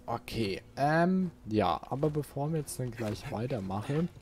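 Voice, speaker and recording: A man's voice: medium pitch, animated, a little slow, darkish tone, slight background noise. A man doing casual let's play commentary, musing aloud and chatting to his viewers. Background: game music.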